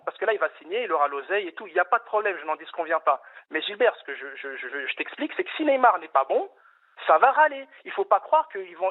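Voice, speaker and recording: A man calling in to a radio debate, talking fast and insistently, repeating words as he presses his point. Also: high voice. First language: Arabic. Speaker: caller. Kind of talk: phone-in debate on a radio show. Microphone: telephone. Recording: tinny phone line.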